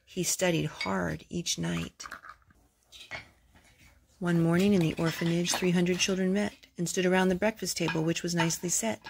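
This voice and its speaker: A woman's voice: lowish voice, flat delivery, normal speed, vocal fry. Woman reading to children, noisy home recording. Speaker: host of a children's storytime. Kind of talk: read-aloud storytelling for young children.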